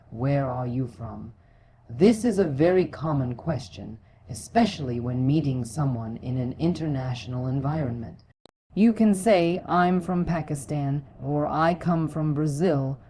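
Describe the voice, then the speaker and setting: Woman, British accent, very low pitch, soft dark tone, encouraging teacher, scripted instructional narration, measured phrasing. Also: a little hiss or hum. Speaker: English teacher.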